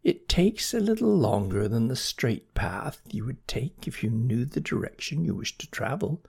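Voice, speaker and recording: Storyteller as a patient, husky motherly snail. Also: medium pitch, very animated, normal speed, exaggerated voice. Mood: patient, assured. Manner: soft, reassuring, gently commanding. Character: calm, motherly, patient, decisive female snail.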